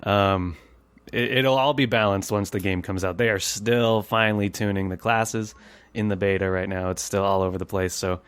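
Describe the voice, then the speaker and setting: A man's voice: fairly low pitch, fairly even intonation, normal speed, a bit bright, smooth, dry recording. A man hosting a casual gaming podcast, chatting in an informal, enthusiastic way. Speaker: podcast host.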